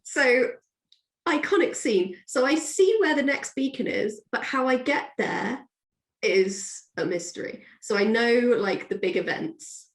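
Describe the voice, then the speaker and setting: A woman's voice: a little high, expressive, unhurried. A woman guest author talking casually and with animation in an interview, often acting out what people say. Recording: echoey, roomy sound.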